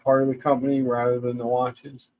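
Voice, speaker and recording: Middle-aged man co-host, mid-pitched voice, relaxed and a little hesitant as he reads out viewer questions. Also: fairly quick, dull audio, a little hiss or hum. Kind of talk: casual chat.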